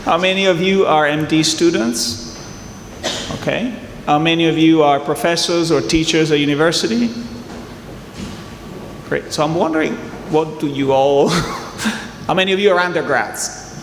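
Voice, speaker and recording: A man's voice: a little high, measured pace, slight background noise. A middle-aged man from southern Italy with an Italian accent, a venture investor chatting and joking with a conference audience. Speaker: venture capital investor on a panel. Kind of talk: conference fireside chat. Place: conference hall. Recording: echoey hall, faint ambience.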